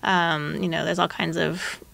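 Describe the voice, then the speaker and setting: Creaky-voiced woman in casual conversation. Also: fairly low pitch, little pitch movement, unhurried, a bit bright. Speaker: podcast guest, show creator. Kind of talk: casual chat.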